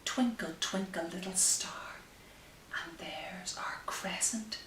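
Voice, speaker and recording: A woman reading picture books aloud to young children, gentle and expressive, with drawn-out phrases and hushed asides. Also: fairly low pitch, whispered. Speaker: story time host. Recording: roomy, distant mic with faint hiss.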